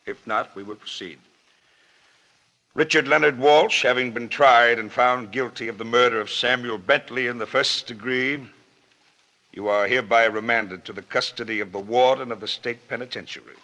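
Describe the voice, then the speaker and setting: An actor playing a solemn judge in a 1940s radio drama, formal and declamatory. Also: male voice, mid-range voice, normal speed, sharp tone, rough recording. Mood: stern, solemn. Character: solemn, formal male judge passing sentence. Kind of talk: 1940s radio drama acting, courtroom pronouncement.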